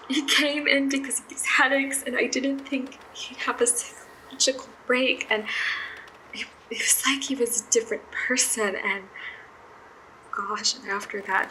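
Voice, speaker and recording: An actress playing an earnest, anxious young female medical intern in an audio drama diary, rambling and self-conscious with halting phrases. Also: a little high, sharp tone, reverberant, slight background noise, low-quality recording. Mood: shaken, upset. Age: young adult. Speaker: actor.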